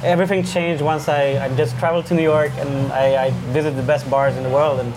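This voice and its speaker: A man's voice: on the high side, flat delivery, brisk, over music. Easygoing Swedish-raised man in his thirties. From Sweden. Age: 30s. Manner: easygoing, short answers, many uh fillers. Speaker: bartender, guest. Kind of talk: casual interview chat. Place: busy bar. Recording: noisy bar background.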